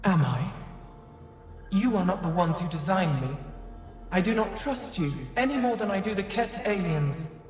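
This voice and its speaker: A woman's voice: medium pitch, lively intonation, normal speed, pauses often, a little mellow, soft background music, lightly acted. Woman as ancient machine intelligence, female, formal, weary and bitter, game dialogue, long echoing reverb. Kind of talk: video game voice acting. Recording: echoey hall with long reverb.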